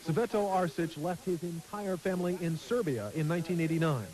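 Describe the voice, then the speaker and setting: A male television reporter delivering a formal, measured news package. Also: a little high, a crowd in the background, rough recording.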